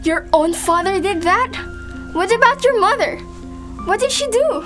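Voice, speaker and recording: Woman acting very high-pitched, anxious young prisoner. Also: animated, unhurried, a bit bright, faint music behind, heavily acted. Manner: pleading, anxious. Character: frightened, anxious newly jailed young woman. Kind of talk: amateur student radio drama acting.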